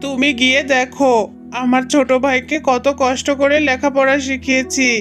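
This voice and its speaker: A gentle cartoon fox husband and father, voiced by a woman, fairly high and even in pitch. He sounds earnest and trusting, with music behind. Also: moderate pace, natural voice. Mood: earnest, pleading. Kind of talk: dramatised Bangla cartoon story.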